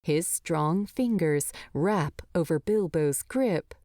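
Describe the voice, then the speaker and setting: A woman narrating a story from a script in an expressive storytelling style. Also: on the low side, takes it slowly, no echo, clean studio sound. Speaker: fan fiction narrator.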